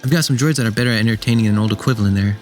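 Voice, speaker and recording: Kindly android tinkerer, played by a man: lowish voice, quick talker, tender and smitten, radio drama. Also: soft background music, natural voice. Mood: gentle, kindly.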